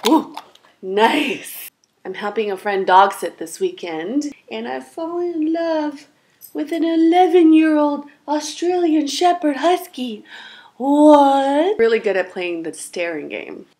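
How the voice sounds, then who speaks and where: A woman's voice: high voice, expressive, slow, a little mellow. A young woman from China, a native Mandarin speaker, giving dating advice in a chatty, sarcastic, long-winded vlog. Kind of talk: casual direct-to-camera vlog.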